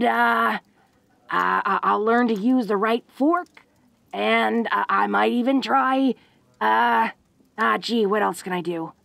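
A woman acts out a tough, protective orc girl from a fantasy audio roleplay. She takes her time, in a slightly high voice with plenty of gravel, stumbling bashfully through rustic, slangy speech. She sounds close and dry. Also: fairly bright tone, no room sound, full character voice. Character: tough, protective, secretly awkward young orc woman, sweetly devoted. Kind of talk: intimate audio roleplay acting.

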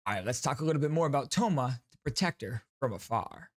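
A man's voice: mid-range voice, animated, quick pace, no echo. A young man hosting a gaming channel with enthusiastic commentary, speaking into a close microphone at home. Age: young adult. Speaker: YouTube gaming host. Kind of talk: upbeat game reaction commentary. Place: small home streaming room, indoors. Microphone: close studio microphone on a boom arm.

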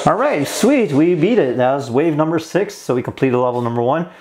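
A man's voice: a little high, lively intonation, brisk, darkish tone. A young man giving casual, slangy and enthusiastic gameplay commentary as a toy reviewer. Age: young adult. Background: game music.

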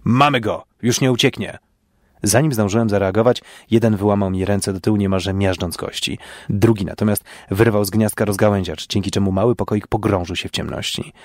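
A man's voice: lowish voice, fairly even intonation, a little fast, darkish tone, dry recording, studio quality. A man reading first-person fiction in a dramatic storytelling style. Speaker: storyteller, audio show host.

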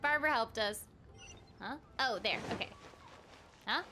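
A woman's voice: a little high, unhurried, background noise. A young adult woman chatting casually and playfully while streaming a video game. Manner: playful, giggly, exclamatory. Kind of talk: casual livestream chat while gaming. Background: game music.

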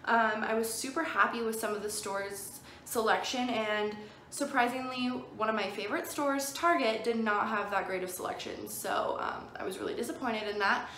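A woman's voice: fairly high pitch, normal speed, sharp tone. A young adult woman vlogger talking casually and enthusiastically to camera indoors, in an informal, gushing haul style. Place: indoors in a plain-walled room. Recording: echoey room with faint background noise.

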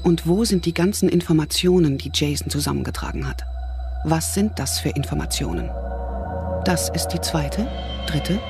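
A woman's voice: low-pitched, sing-song, moderate pace. Female narrator for suspense radio play, music underneath. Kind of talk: scripted thriller audio drama narration.

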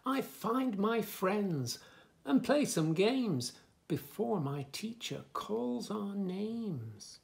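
A man in his fifties reading a picture book aloud to young children, with expressive, sing-song delivery and soft asides. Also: high-pitched, drawn-out words, gravelly. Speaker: children's story reader, host. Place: small furnished room indoors, bookshelves.

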